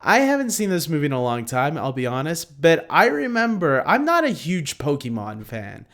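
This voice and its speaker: A man hosting a casual unscripted ranking video, talking to viewers in a halting, rambling, self-deprecating way. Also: fairly high pitch, huge pitch swings, a bit bright. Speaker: host of a film ranking video.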